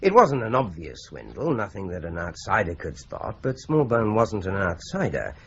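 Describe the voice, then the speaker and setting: An actor playing a shrewd, probing police investigator in a radio drama, measured and dry in manner. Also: male voice, lowish voice, huge pitch swings, slight background noise. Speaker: police detective investigating a murder. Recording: dull lo-fi radio recording.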